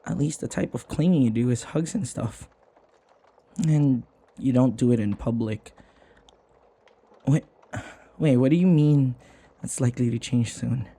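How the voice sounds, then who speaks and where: A man playing a flustered, submissive servant in an intimate audio roleplay, hesitant and apologetic, stammering with a breathy voice. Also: mid-range voice, a little slow, long pauses, mellow. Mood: shy, resigned. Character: flustered, submissive young male servant devoted to his vampire mistress.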